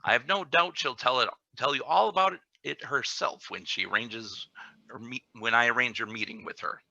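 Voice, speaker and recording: An officious male colony official played by an actor in a radio play, polite and guarded. His voice is very bright and forceful, on a dry, slightly lo-fi recording. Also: medium pitch, moderate pace, creaky, dry recording. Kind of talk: live script reading, radio play.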